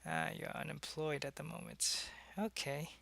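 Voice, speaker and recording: A man acts out a loyal alien changeling spy in an intimate audio roleplay, with a gravelly edge and a bright, forceful tone. The delivery is conversational and halting, like someone confiding in one listener. The sound is very dry. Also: fairly high pitch, steady tone, no echo, heavily acted. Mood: matter-of-fact. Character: alien changeling spy posing as human, loyal, secretive, soldierly. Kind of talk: ASMR roleplay addressed to the listener.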